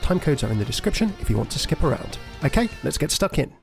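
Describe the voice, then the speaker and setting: A man's voice: a little high, big pitch swings, quick pace, gravelly. A man giving scripted explainer narration as a video host. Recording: music behind.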